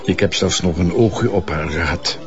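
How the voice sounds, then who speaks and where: An actor with a Flemish accent playing a jovial, pushy government minister in a radio drama, chummy and insistent. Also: male voice, low voice, normal speed, over music, close to an everyday voice. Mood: chummy, teasing. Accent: Flemish, from Bruges. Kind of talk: naturalistic radio drama dialogue. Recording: dull, band-limited radio drama recording.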